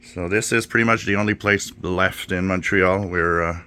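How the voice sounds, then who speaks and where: A man's voice: deep voice, fairly even intonation, normal speed, sharp tone, clear, resonant tone. A man hosting a casual video tour, talking off the cuff inside a shop. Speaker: channel host filming a shop tour. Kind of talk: casual vlog narration. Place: inside a small electronics repair shop.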